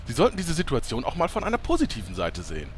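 A man's voice: mid-range voice, huge pitch swings, fast talker, slight background noise. Nagging chirpy sidekick, male actor, audio comedy: hugely varied intonation, pestering, theatrical. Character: relentlessly chatty, annoyingly cheerful sidekick. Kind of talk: German comedy audio drama acting.

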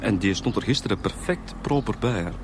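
An actor playing a matter-of-fact police detective in a radio drama, speaking with a Flemish accent. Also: male voice, fairly low pitch, expressive, brisk, noisy background, lightly acted. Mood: pondering.

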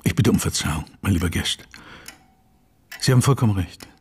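An actor playing a courteous, troubled Victorian gentleman in a German radio play, grave and formal, with a husky voice. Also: low voice, lively intonation, a little hiss or hum. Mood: brooding, troubled. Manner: measured, formal, brooding.

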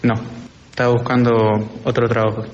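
Teen argentine boy, flat matter-of-fact answers, dull echoey classroom recording. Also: medium pitch, a little fast. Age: teenager. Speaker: student interviewee. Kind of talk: informal recorded interview. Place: school classroom.

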